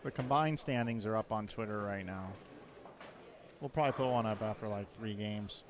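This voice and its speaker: A man's voice: on the low side, normal speed, background noise. A man hosting casual bowling tournament commentary, easygoing and matter-of-fact. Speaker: stream commentator. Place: bowling alley.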